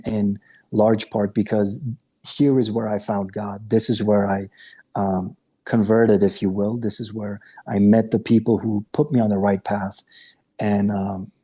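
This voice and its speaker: A man in his late thirties with a low, dark voice that has a husky edge. He is from Romania and was raised in Austria. He tells his life story to a podcast host in a candid, earnest way. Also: level tone, a little slow, pauses often. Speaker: podcast guest, coach. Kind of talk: casual interview chat.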